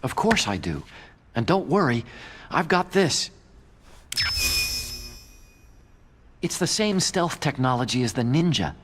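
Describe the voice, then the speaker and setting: Man acting an earnest, animated young scientist. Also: medium pitch, normal speed, noisy background, natural voice. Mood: matter-of-fact. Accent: American. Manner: earnest, emotional, plaintive. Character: earnest, nerdy young male scientist, anxious and idealistic. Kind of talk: dramatic video game voice acting.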